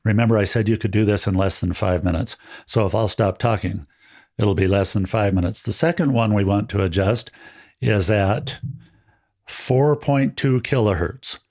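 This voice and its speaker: A man with a fairly deep voice hosting a friendly step-by-step audio tutorial, explaining in a relaxed, chatty way with small jokes, recorded on a dynamic mic with no EQ. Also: normal speed. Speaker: audio gear tutorial host. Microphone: dynamic handheld-style studio mic, no EQ.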